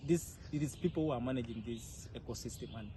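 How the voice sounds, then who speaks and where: A young Kenyan man giving earnest interview answers, with frequent hesitant pauses. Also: mid-range voice, dark tone, rough recording. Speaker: interviewee, leadership fellow. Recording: clear ambient background noise.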